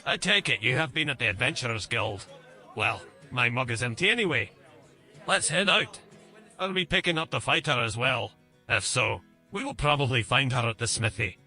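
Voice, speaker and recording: An actor playing a flamboyant, hard-drinking elven bard in a fantasy audio game, theatrical and wry. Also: male voice, mid-range voice, animated, moderate pace, bright, forceful tone, faint music behind.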